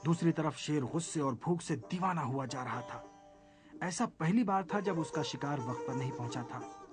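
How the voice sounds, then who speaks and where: A male storyteller reads a children's tale from a script. His voice sits a little higher than most men's, and music plays clearly behind him. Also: lively intonation, moderate pace.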